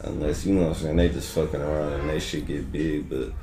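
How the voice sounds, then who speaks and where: Deep-voiced laid-back rapper. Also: male voice, fairly even intonation, brisk, echoey room. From Detroit, USA. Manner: laid-back, loose slang with frequent "you know what I'm saying". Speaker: rapper guest. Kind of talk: casual interview chat.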